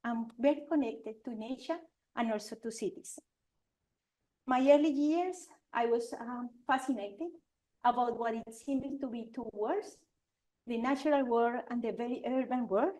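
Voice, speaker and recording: A woman's voice: a little high, dark tone, low-quality recording. A woman in her forties from Colombia with a Colombian Spanish accent, giving an earnest conference talk as an architect, speaking Spanish-accented English with frequent restarts. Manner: earnest, halting, with restarts and fillers. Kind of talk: conference presentation. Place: lecture venue with audience.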